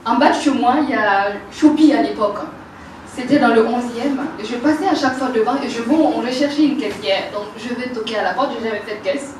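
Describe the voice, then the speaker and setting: A woman's voice: fairly high pitch, a little fast, a little hiss or hum. Burkinabe woman telling her story in an echoey room. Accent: Burkinabe French. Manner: animated, laughing, many hesitations. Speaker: makeup artist telling her life story. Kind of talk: personal life story talk. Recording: roomy, distant mic, faint ambience.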